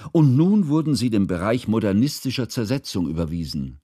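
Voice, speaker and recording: An actor playing a precise, ironic narrator, telling a literary story in measured radio drama narration. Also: male voice, lowish voice, big pitch swings, mellow, husky, no room sound, clean studio sound. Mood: ironic recounting. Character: observant, dryly ironic male narrator recalling salon society.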